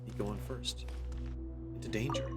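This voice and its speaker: A man's voice: fairly low pitch, fairly even intonation, a little slow. A man hosting a let's play, commenting casually and musing to himself in an easygoing way. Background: game music.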